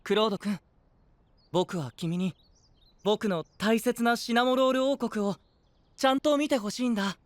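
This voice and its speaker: An actor playing a gentle, blithely persuasive princely young knight, soft-spoken and leisurely, in an anime-style audio drama. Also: male voice, high-pitched, normal speed, long pauses, no echo, lightly acted. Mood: kindly persuasive.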